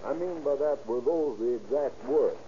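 An actor playing a formal courtroom lawyer, deliberate and probing, in a 1930s radio crime drama. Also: male voice, medium pitch, expressive, warm tone, close to an everyday voice. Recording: muffled, noisy old radio recording.

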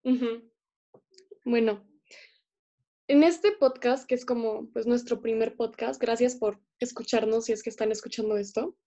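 A woman's voice: fairly high pitch, steady tone, unhurried, mellow, highs cut off. A young woman from Colombia co-hosting a casual podcast, speaking earnestly with many fillers over a remote call. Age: young adult. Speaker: podcast co-host. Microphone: remote call recording.

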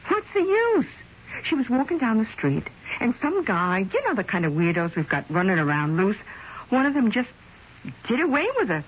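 A woman's voice: on the high side, sing-song, normal speed, a little hiss or hum. An actress playing a chatty, opinionated, gossipy woman who speaks colloquially in a radio drama. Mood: frank, gossipy. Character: gossipy, frank working-class woman, impatient with questions. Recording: muffled old radio broadcast.

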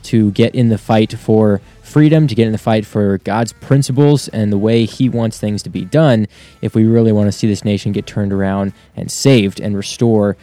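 A man's voice: lowish voice, normal speed, dark tone, no echo. A young man introducing a podcast episode in an upbeat, earnest way. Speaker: podcast host.

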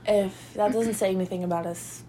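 A young woman co-hosting a relaxed girls' night chat, her voice stuffy and congested. She rambles and giggles and uses plenty of fillers. Her pitch sits mid-range, with a faint hiss in the background. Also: brisk. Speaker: podcast co-host. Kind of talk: casual chat.

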